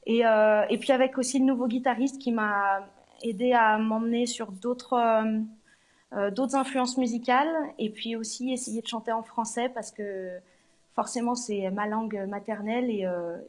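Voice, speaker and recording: Woman singer, guest interview at a venue; slightly high, hesitant, many fillers. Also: fairly even intonation, moderate pace. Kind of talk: live interview on location. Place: arts centre venue.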